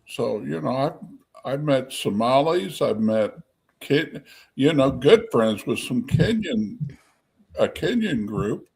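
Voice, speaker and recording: A man's voice: medium pitch, animated, slow, creaky, a little mellow, slightly rough, low-quality recording. A man speaking as a guest on a podcast panel, rambling in a loose conversational way. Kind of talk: casual panel chat.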